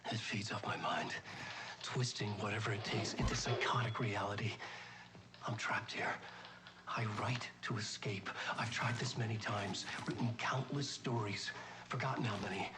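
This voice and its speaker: An actor playing a haunted, trapped writer, narrating his brooding inner monologue in a hushed, intense and deliberate way. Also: lowish voice, steady tone, lightly acted. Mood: haunted, weary. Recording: loud music under the voice. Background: loud music.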